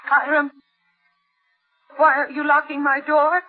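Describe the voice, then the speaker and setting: A woman's voice: high-pitched, little pitch movement, slow. A woman acting a terrified young bride trapped by a mad husband in a 1950s radio thriller, breathless and dramatic with dread. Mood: frightened, pleading. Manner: breathless, dramatic diary narration with trembling dread. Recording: dull vintage radio recording, loud music behind.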